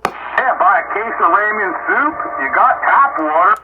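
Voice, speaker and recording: Through a thin, static-laden radio link comes a man with a slightly high voice. He is a hobby operator chatting casually. His delivery is folksy and full of easy banter. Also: expressive, unhurried. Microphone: radio transceiver microphone. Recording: noisy narrowband radio transmission.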